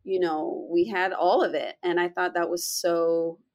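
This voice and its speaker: A woman's voice: fairly low pitch, measured pace. A young woman with a Puerto Rican accent, an actress speaking earnestly in a casual interview, filling her talk with "you know.". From Puerto Rico heritage. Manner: earnest, animated, many "you know" fillers.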